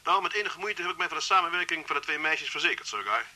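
A man's voice: on the high side, expressive, fast, pushed and bright. An actor playing a respectful police inspector reporting to his superior in a radio drama. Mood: deferential.

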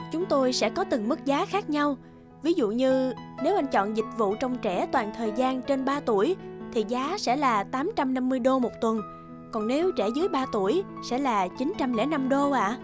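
A courteous female agency receptionist played by an actress in an animated tale. She speaks in a high, brisk, formal service voice with music playing behind her. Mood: polite, businesslike. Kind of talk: animated moral-tale voice acting.

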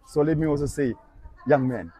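A man's voice: on the high side, moderate pace, dark tone. A young man preaching on a busy shopping street, talking earnestly and persuasively to a passer-by and repeating scripture back line by line. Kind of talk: street evangelism conversation.

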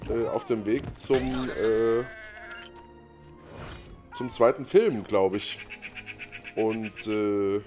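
A man's voice: lowish voice, drawn-out words, pauses often, over music. A man giving casual, unscripted game commentary as host, muttering offhand reactions to himself. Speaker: gaming let's play host.

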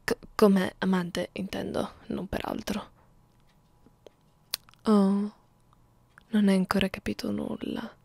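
Woman acting a slow, teasing vampire girl, whispering close. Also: mid-range voice, flat delivery, gravelly, dark tone, no echo. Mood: amused, disdainful. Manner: slow, teasing, condescending, menacing. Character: young female vampire, 342 years old, playful, predatory, haughty. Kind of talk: ASMR roleplay, alternating whispers and soft speech. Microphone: close ASMR microphone.

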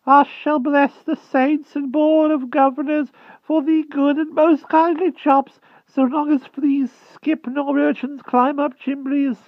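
A shrill, slow, timid old hedgehog in rustic dialect, tinny line. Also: male voice, flat delivery, no room sound, exaggerated voice. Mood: pleading. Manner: thick rustic country dialect, quavering and pleading. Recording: tinny phone-like dry sound.

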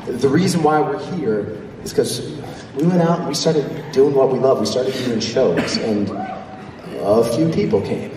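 A man's voice: mid-range voice, unhurried. A man from Colorado, a singer in a vocal group, speaking to fans from a stage. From Littleton, Colorado. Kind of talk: stage address to concert audience. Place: large indoor theater stage. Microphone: handheld mic through PA, recorded from audience. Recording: echoey concert hall from far, crowd noise.

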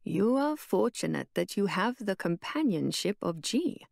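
A woman voicing a calm, cryptic old woman who speaks in a low, hushed, measured way. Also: creaky, no room sound, studio quality, full character voice.

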